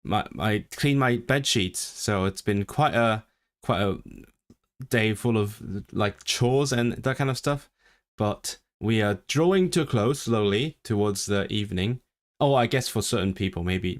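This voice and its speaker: Man, Cantonese first language, mid-pitched voice, rambling casual streamer chat. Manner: rambling, self-deprecating, many restarts and fillers.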